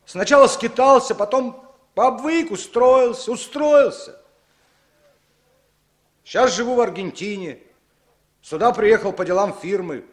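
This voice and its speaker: A man's voice: high-pitched, measured pace, long pauses. An actor playing an evasive, bitter long-lost older brother in a 1960s Soviet radio drama, emotional, repeating his words. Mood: defensive, pleading.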